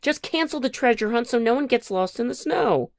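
A woman's voice: on the high side, expressive, fairly quick, dry recording, pitched above the performer's own voice. A woman voicing a sensible, earnest young female groundhog who takes charge in a children's storybook. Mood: insistent.

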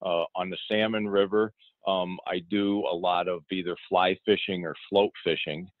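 A man's voice: sits low, little pitch movement, normal speed, no room sound. A middle-aged man, a fishing guide, answering interview questions in an easygoing way, often repeating words and restarting his sentences. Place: outdoors in a boat on the water. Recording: tinny phone-like line.

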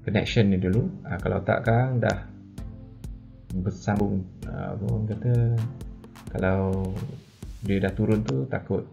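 Low-voiced, gravelly Malaysian man. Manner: conversational, hesitant, mixes English terms into Malay. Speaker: product reviewer. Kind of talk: informal product explainer to camera. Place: medium-sized indoor office.

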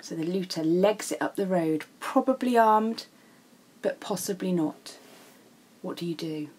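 A woman's voice: fairly low pitch, unhurried, darkish tone, slightly rough. A woman with a British accent, an English teacher explaining step by step in a narrated video lesson. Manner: explanatory, signposting each step.

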